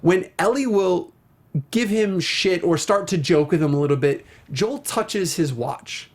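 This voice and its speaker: A man's voice: high-pitched, a little slow. A man hosting a talk show, chatting casually and emphatically about a video game with plenty of enthusiasm.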